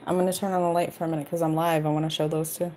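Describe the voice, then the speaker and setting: A woman with a low, deep-set voice and a Worcester, Massachusetts accent, rambling through a casual live-stream chat. She talks bluntly and swears freely. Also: little pitch movement, racing, dark tone, a little hiss or hum. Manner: blunt, profane and chatty. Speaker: YouTuber hosting a live stream.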